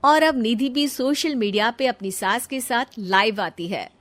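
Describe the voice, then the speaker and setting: A woman's voice: fairly high pitch, a little slow, a bit bright. A woman reading a scripted story as its narrator.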